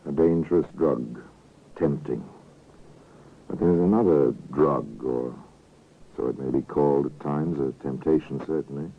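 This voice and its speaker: An actor playing a musing, ominous narrator in an old-time radio drama, deliberate and portentous. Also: male voice, very deep voice, creaky, slightly rough, heavily acted. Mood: insinuating. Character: ominous, musing male narrator of suspense tale. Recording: muffled vintage radio recording.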